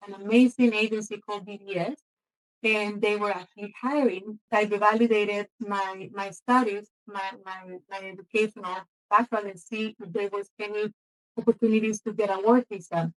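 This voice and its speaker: A middle-aged woman from Mexico, a psychologist, telling her story in a measured, halting way. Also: medium pitch, fairly even intonation, low-quality recording. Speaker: interviewee. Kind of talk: personal story interview.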